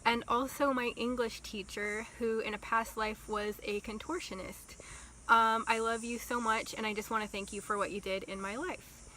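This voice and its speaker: A young woman telling a casual storytime vlog, talking slowly and softly with many ums and likes. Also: on the high side, fairly even intonation, a little hiss or hum, rough recording. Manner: slow, soft-spoken with many ums and likes. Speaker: vlogger.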